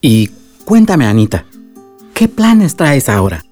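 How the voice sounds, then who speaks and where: An actor playing a wise, loving elderly village grandfather in a radio drama, with a Mexican accent and a measured, reflective way of advising. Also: mid-range voice, very animated, darkish tone, soft background music. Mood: gentle, curious. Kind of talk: Spanish-language radio drama acting.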